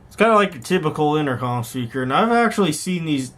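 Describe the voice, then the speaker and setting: A man's voice: a little high, animated, fast talker, a bit bright. A man giving casual, unscripted show-and-tell commentary in a rambling, conversational way, often correcting himself as he goes. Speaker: hobbyist vlogger, host.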